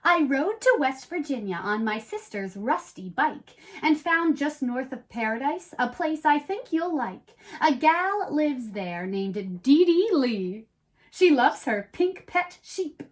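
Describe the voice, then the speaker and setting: A woman with a high, very lively voice reads aloud as a children's show host. She tells stories expressively, with playful chat, and explains things in simple terms for young listeners. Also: takes it slowly.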